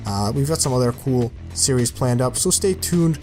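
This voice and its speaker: A man with a mid-pitched voice hosts a gaming video in a casual, informal style. His commentary rambles along loosely like an offhand walkthrough. Music plays clearly behind him. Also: animated, normal speed, a little mellow. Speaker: video host presenting game mods.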